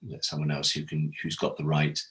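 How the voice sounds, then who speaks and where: A man talking casually as an interview guest, an animated storyteller who recounts anecdotes and acts out remembered conversations. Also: very deep voice, fairly quick. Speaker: interview guest, audio drama producer and director.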